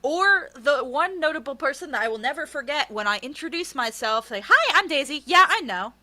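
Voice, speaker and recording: A woman author being interviewed, chatting casually in an animated, self-deprecating, sweary way. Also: sits high, fairly quick.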